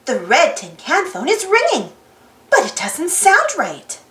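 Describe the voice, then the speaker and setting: A woman narrates a children's storybook in a very high, highly animated voice. She reads expressively, with lots of melody, in a room that echoes. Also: unhurried. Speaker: storybook reader.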